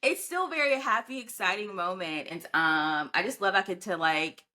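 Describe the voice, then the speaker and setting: A young woman with a bright, forward voice at an ordinary pitch, co-hosting a casual podcast. She sounds upbeat and chatty, often leaning on "you know.". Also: moderate pace. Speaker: podcast co-host. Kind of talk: casual chat.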